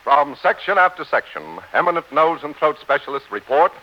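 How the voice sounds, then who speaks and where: A male announcer with a fairly high, very animated voice reads in the emphatic manner of 1940s radio. The old broadcast sounds muffled. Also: fairly quick. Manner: emphatic, declamatory.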